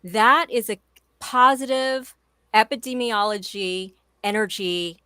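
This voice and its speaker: A woman's voice: on the high side, slow, bright, forceful tone, smooth, no echo, clean studio sound. A woman in her forties speaking as a guest in an interview, emphatic and enthusiastic in a motivational way. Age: 40s. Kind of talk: conversational interview.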